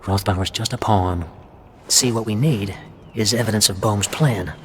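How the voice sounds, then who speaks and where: An actor playing a confident, pragmatic wartime intelligence officer in a video game, explaining things briskly. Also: male voice, fairly low pitch, a little hiss or hum, lightly acted. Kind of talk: cinematic video game acting. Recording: faint music behind the voice.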